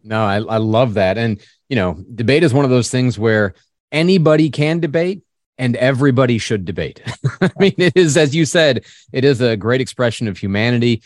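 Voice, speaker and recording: A man's voice: mid-range voice, expressive, moderate pace, no echo, studio quality. A man hosting an interview, affirming his guests and laughing easily as he moves the talk along. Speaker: host.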